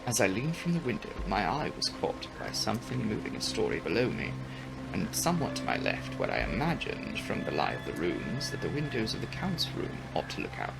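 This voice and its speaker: Expressive male narrator over loud music. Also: fairly low pitch, brisk, creaky, low-quality recording. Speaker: audiobook narrator. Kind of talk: dramatic literary narration.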